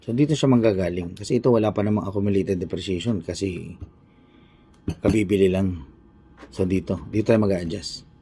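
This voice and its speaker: A man's voice: low voice, brisk, long pauses, mellow, slight background noise. A man with a Filipino accent teaching an informal accounting lesson, thinking aloud step by step and repeating himself as he works through an example.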